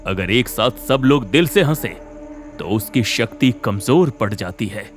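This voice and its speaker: Wise, solemn holy man for a cartoon tale, male actor; unhurried delivery, music underneath. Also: on the low side, animated. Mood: solemn explaining. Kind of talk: Hindi animated moral horror story acting.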